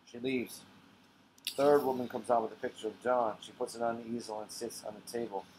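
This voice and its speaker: A man reads out stage directions from his own play. The delivery is plain and scripted, in a mid-pitched voice. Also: level tone, a little fast, background noise.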